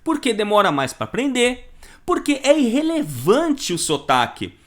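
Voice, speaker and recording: A high-pitched man with a Brazilian Portuguese accent teaches an online course in a lively, emphatic way. He talks straight to the viewer and often asks rhetorical questions. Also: moderate pace. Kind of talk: live online lesson.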